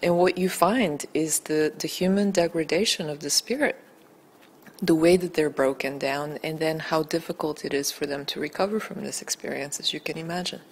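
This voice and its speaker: Woman, 30s, low voice, earnest and articulate, film-maker being interviewed on a handheld mic. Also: steady tone, moderate pace, husky. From Bulgaria. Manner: earnest, articulate, building emphasis. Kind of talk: interview answers. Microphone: handheld mic held by interviewer.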